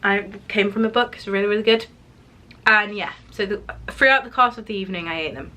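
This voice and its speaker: A woman's voice: mid-range voice, brisk, pushed and bright. A woman vlogging her weekly weigh-in in a rambling, casual way, sounding slightly nasal as she recovers from illness. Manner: chatty, rambling, many ums and restarts. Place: home office.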